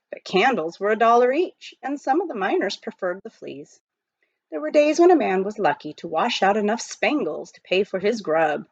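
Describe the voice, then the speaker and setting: A woman reading a children's novel aloud as an expressive storyteller. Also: mid-range voice, husky, no echo, highs cut off.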